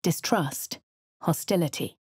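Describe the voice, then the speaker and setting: An actress voicing a posh, wry young adventurer woman with an English accent, reading game lines and narration in a crisp, well-spoken way. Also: low-pitched, takes it slowly, darkish tone, no room sound, clean studio sound, heavily acted. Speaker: player character voice. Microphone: studio mic, dry.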